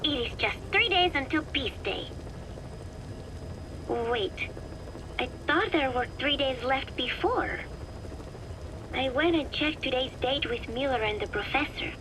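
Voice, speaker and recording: An actress playing a wistful young woman, speaking softly and deliberately in an intimate taped diary for a video game. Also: high-pitched, animated, noisy background. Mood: uneasy, dreamlike.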